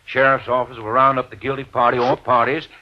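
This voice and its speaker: An actor playing a folksy, stammering small-town sheriff's officer in an old radio mystery, halting and peppering his talk with filler phrases. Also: medium pitch, flat delivery, slight background noise, natural voice. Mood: consoling. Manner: hesitant, stammering, repeating "you know". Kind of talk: 1940s-50s radio drama acting. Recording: dull vintage radio broadcast recording.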